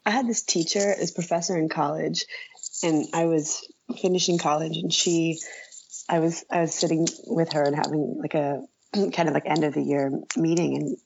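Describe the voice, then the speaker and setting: A low-voiced American woman answers interview questions in casual conversation. Her voice has a lot of vocal fry, and she speaks haltingly, doubling back on words. The recording sounds muffled and lo-fi. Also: fairly even intonation, darkish tone, slight background noise. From Iowa, United States. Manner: hesitant, halting, repeating words as she thinks. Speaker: interview guest, writer and journalist.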